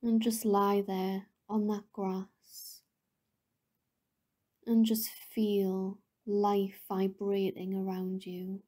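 Slow, mellow woman guiding meditation. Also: medium pitch, level tone. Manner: soothing, gentle, unhurried with long pauses. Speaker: guided meditation leader. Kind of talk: guided meditation narration.

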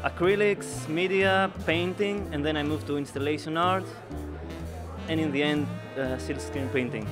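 A man's voice: fairly high pitch, normal speed, music in the background. A young man from Greece with a Greek accent, speaking in hesitant phrases as an artist being interviewed. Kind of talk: on-camera interview. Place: indoor art fair booth. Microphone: handheld interview mic held out by interviewer.

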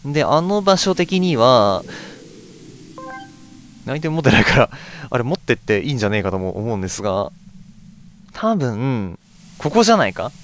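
Man casually commentating a game, lively pitch. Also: moderate pace, long pauses, a little hiss or hum. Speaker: let's play host. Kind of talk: casual game playthrough commentary, reading on-screen text aloud.

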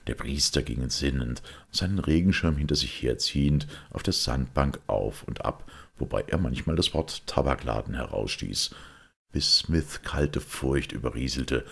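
Very deep, gravelly male storyteller. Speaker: audiobook reader. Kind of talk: scripted literary narration of a detective story.

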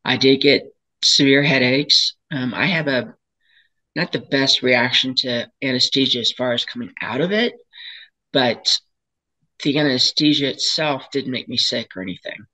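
An older woman speaks with a raspy, hoarse voice from a lingering cold, yet her tone stays bright and forceful. She tells her own story as a patient, earnest and candid, with pauses and restarts. Heard through a home webcam on a video call. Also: a bass voice, rough recording. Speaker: patient sharing her experience. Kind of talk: personal testimony at a panel. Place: home room, indoors, seated in a chair.

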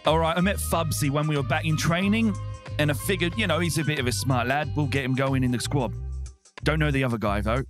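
A man's voice: on the high side, fairly quick, over music, natural voice. A man voicing a brash, dutiful pixie squaddie in a tabletop game. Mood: chirpy, cocky.

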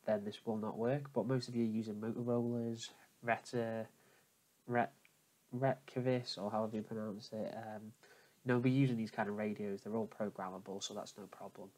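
A man with a fairly low voice hosts a casual how-to. He explains each step plainly and informally, instructing the viewer directly as he works at a computer. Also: steady tone, moderate pace, darkish tone, rough recording. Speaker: tutorial host. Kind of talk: casual step-by-step software tutorial. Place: indoors at a computer, voice over screen capture.

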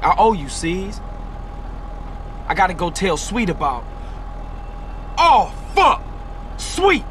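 High, swooping young gangster. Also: male voice, huge pitch swings, slow pace, pauses often, fairly bright tone, exaggerated voice. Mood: urgent. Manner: blunt, slangy, emotional. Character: hot-headed young male gangster, loyal and wary. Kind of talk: video game cutscene acting. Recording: clear street and car noise.

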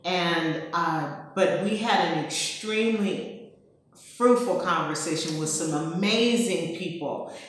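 An older woman speaks earnestly and leans on repeated words. Her voice is low and rings in a strongly echoing room. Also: takes it slowly. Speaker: co-organizer. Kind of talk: casual explanation. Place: living room, indoors. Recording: echoey room.

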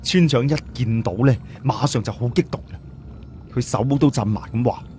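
A man's voice: mid-range voice, moderate pace, mellow, slight background noise. A man narrating a story in a dramatic audiobook style.

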